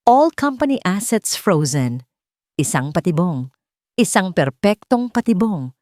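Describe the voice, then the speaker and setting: A female storyteller narrates a scripted melodrama with solemn emotion and deliberate delivery. Her voice sits a little low and moves through a very expressive melody, in a close, dry recording. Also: no echo.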